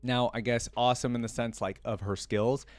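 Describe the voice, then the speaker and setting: A man's voice: medium pitch, fairly even intonation, normal speed, no echo. A man hosting a reaction video, reading a story aloud and adding casual, conversational fan commentary.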